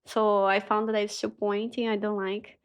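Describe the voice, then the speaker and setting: Brazilian woman guest, mid-pitched, halting English, laughs a lot. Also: level tone, clear, resonant tone. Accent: Brazilian Portuguese, São Paulo. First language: Portuguese. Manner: halting non-native English, laughs often. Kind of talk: casual chat.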